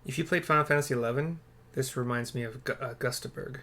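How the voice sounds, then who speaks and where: A man's voice: mid-range voice, quick pace, gravelly, sharp tone. Rambling man doing casual game commentary. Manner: rambling, muttering asides, swears. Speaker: gamer narrating a playthrough.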